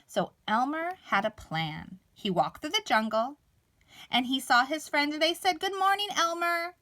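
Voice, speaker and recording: A woman reading a children's story aloud expressively, with dramatic pauses and emphasis. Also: sits high, expressive, bright, forceful tone.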